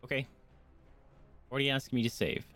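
A man's voice: medium pitch, fairly even intonation, a little fast, a bit bright, dry recording, faint music behind. A man livestreaming a game, chatting informally and wandering into asides for his viewers. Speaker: game streamer. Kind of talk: casual chat while livestreaming a game.

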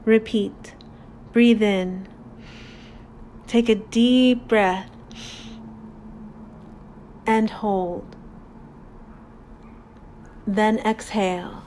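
Very slow-paced woman guiding a meditation. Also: medium pitch, slight background noise. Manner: calm, deliberate, soothing instruction with long pauses. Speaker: meditation guide. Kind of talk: guided meditation narration.

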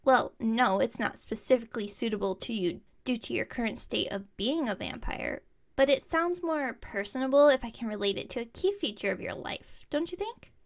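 A woman's voice: fairly high pitch, moderate pace, vocal fry. A woman playing an enthusiastic, slightly incompetent witch shopkeeper in an audio roleplay, rambling on in a friendly, bubbly way. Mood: curious, rambling. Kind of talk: ASMR roleplay audio, one-sided chat with listener.

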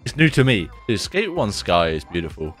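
Guy in his 20s rambling thru a skate game at home, voice kinda rough from a sore throat, lots of "like" and "dude", faint music behind. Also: sits low, big pitch swings, moderate pace, a bit bright. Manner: chatty, rambling, says "like" and "dude" a lot. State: deeper voice after a sore throat. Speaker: gaming YouTuber. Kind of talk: casual gameplay commentary. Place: bedroom at home, indoors.

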